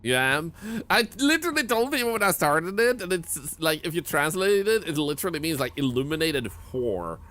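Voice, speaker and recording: Lively Swedish-accented man streaming games. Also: fairly high pitch. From Sweden. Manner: joking, teasing chat, quick to laugh. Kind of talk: casual gameplay commentary.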